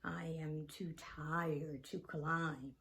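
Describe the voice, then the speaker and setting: A woman voicing a sad, tired old man in a storybook, with a weary, subdued, slow delivery. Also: deep voice, fairly even intonation, a bit bright, pitched below the performer's own voice, heavily acted.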